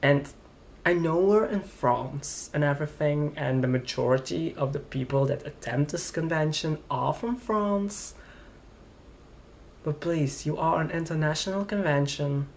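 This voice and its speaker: A man's voice: on the high side, unhurried, vocal fry, slight background noise. A young man from Flanders, rambling and hesitant as he complains in a casual vlog. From Belgium, Flanders. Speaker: vlogger.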